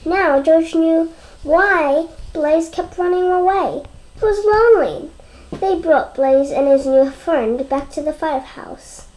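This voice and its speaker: A child reading a storybook aloud in a halting way, stumbling and repeating words. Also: very high-pitched, a little mellow, low-quality recording. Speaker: read-aloud presenter.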